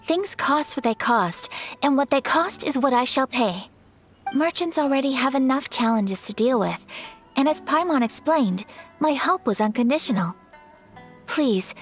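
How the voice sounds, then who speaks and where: A woman's voice: high voice, expressive, normal speed, faint music behind. A young woman voicing a gracious, principled noblewoman in a video game, speaking formally and courteously. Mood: calm.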